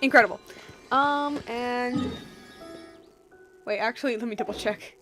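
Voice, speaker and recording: A woman gives casual live commentary on a game stream. Her voice is on the high side, chatty and slangy with playful asides. Soft game music plays in the background. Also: unhurried.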